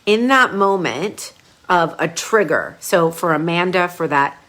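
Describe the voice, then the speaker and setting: A woman brand coach leading a live group coaching session. She talks casually and chattily, with sudden gushing bursts of excitement. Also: on the low side, measured pace. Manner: casual, chatty, with frequent fillers.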